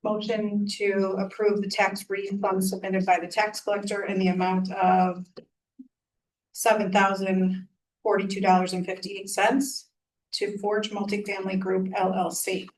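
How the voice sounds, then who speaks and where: A woman's voice: mid-range voice, measured pace, slight background noise. A woman on a town board reading out motions and dollar amounts in a businesslike way. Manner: flat, businesslike reading of figures. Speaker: town selectwoman. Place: meeting room. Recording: voice from far off the mic.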